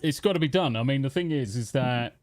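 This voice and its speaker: A man's voice: medium pitch, animated, brisk, darkish tone. An English man hosting a casual livestream panel, bantering and laughing as he reads out the chat. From England. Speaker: host.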